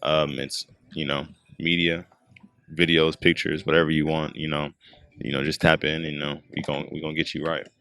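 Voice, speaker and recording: Man, twenties, very deep voice, laid-back, rambling, lots of fillers, podcast guest, handheld mic, outdoor skate park. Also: level tone, normal speed, pushed and bright, no room sound, studio quality. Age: 20s. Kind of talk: casual chat.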